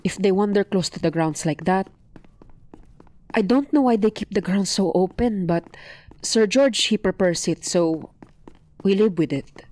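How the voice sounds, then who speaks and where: A woman's voice: mid-range voice, a little slow, long pauses, a little mellow, natural voice. An actress playing a watchful, resigned woman of a household in an audio drama, hesitant and matter-of-fact. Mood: wary, resigned.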